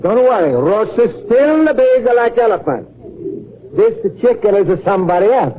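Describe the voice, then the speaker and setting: An eager, innocent Italian immigrant in an old radio comedy. His very high voice bounces around in simple, earnest broken English with a strong Italian accent. The sound is dull and a bit noisy, like a vintage broadcast. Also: big pitch swings, normal speed. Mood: happy, chatty. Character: naive, eager, warmhearted Italian immigrant man in America.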